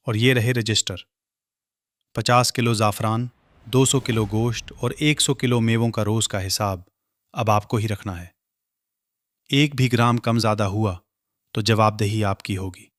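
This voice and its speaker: Man acting a low-voiced, officious clerk. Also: dry recording, clean studio sound, close to an everyday voice. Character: officious male household clerk, dutiful, warning. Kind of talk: Hindi cartoon story voice acting.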